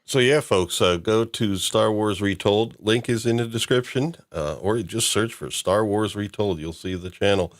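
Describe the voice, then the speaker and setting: A man's voice: on the low side, moderate pace, hardly any pauses. A man hosting a podcast, chatting casually about a fan-made audio drama, chuckling now and then as he talks. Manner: chuckles while talking. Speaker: podcast host.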